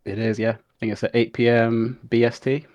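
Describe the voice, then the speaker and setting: A young man who is a guest on a podcast, answering in an easygoing, relaxed way with fillers. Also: mid-range voice, brisk. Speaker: guest, game QA analyst. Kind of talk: casual interview chat.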